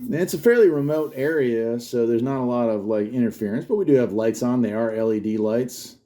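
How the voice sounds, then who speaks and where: A man's voice: medium pitch, a little fast, dark tone. A man chatting off the cuff in an enthusiastic way, thinking aloud as he tunes a radio in a room. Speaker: host of a radio hobby channel. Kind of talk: casual off-the-cuff commentary while tuning a radio. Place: room with fridge and air conditioner running. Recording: noisy, shortwave radio static behind. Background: radio static, appliance hum.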